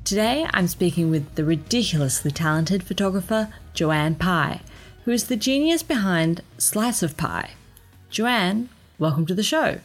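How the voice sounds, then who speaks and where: A woman with a slightly low voice opens her show and introduces a guest, upbeat and inviting. Soft music plays faintly behind her. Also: lively intonation, a little slow. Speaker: podcast host.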